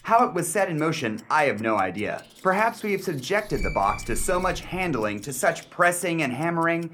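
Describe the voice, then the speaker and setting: Man acting as self-assured skeptical gentleman, swinging pitch. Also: big pitch swings, a little hiss or hum. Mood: dismissive, assured. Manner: precise, deliberate, formal diction. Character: self-assured skeptical gentleman puzzle expert, dryly condescending. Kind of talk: radio mystery acting, period dialogue.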